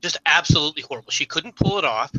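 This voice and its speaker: Brief: male co-host, raised pitch, extremely bright cutting tone, opinionated critic. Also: expressive, fast, no echo, rough recording. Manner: critical, lays out arguments at length. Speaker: stream co-host. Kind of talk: casual opinionated banter.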